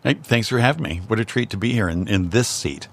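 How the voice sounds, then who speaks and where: A man's voice: on the low side, a little fast, creaky. A man, a guest on a radio talk show, chatting with dry, wry humor. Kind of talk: casual chat.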